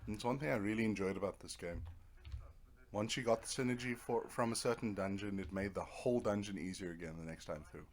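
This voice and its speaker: A man's voice: fairly low pitch, brisk. A man giving casual, rambling let's play commentary as he streams a video game, half talking to himself with playful little words. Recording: clear background noise from nearby TV. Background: Netflix playing in the background.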